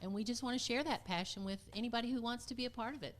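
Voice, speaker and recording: A woman's voice: on the high side, racing. A woman speaking as a community theater guest in a casual interview, informal and enthusiastic.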